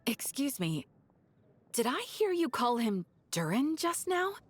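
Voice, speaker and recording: Lively, wary townswoman. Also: sits high, measured pace, exaggerated voice. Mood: puzzled. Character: polite, curious, wary townswoman. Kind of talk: video game voice acting.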